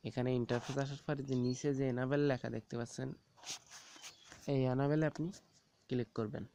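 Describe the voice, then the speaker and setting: A man gives an informal, halting step-by-step phone app tutorial, often repeating himself. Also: mid-range voice, steady tone. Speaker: tech tips tutorial host. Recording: very noisy, rooster crowing and clicks behind.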